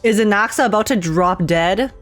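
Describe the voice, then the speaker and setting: A woman's voice: medium pitch, normal speed. A woman game streamer giving casual live reactions in a giggly, teasing way.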